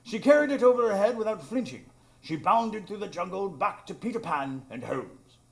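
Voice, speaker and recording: A formal gentleman storyteller for radio drama. His voice sits a bit high and swings widely, with a gravelly creak under the dry, exasperated manner. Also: big pitch swings, normal speed, full character voice. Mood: solemn narration. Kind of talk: radio drama narration and dialogue.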